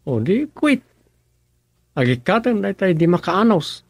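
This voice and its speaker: An earnest young village man in a radio drama, rather high for a man. He talks plaintively and plainly, in a dry, close recording. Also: big pitch swings, measured pace, dry recording, full character voice. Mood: resigned. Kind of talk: rural comedy radio drama acting.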